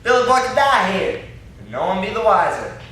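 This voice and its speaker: A man's voice: high-pitched, sing-song, moderate pace, sharp tone, full character voice. A young man playing an indignant, blustering country captive in an amateur stage play, with a folksy, complaining, threatening way of talking. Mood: frustrated. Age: young adult. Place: classroom-like room, indoors. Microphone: far camera. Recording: echoey room, distant camera mic, faint noise.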